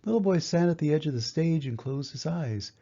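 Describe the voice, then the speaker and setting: Grandfatherly male storybook reader, older, gentle and measured, dark husky tone, muffled audio. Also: fairly high pitch, animated. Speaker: grandfather reading a picture book aloud. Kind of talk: storybook narration for children. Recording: dull, muffled lo-fi sound.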